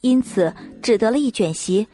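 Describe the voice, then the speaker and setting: Higher-pitched female story narrator. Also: animated, normal speed, dark tone. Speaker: audiobook narrator.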